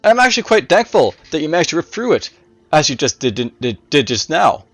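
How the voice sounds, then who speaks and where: A man voicing a curious, menacing necromancer who muses aloud in formal phrases, in a raspy storybook villain voice. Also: on the high side, big pitch swings, no room sound, faint music behind. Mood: smug, appreciative. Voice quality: raspy, wheezy. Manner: musing, formal, drawn-out exclamations.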